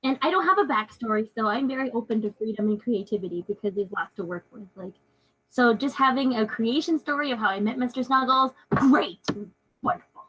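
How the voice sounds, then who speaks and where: A woman's voice: a little high, brisk, fairly bright tone, husky, low-quality recording. A woman chatting casually as a tabletop game player, enthusiastic and agreeable in manner. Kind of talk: casual chat.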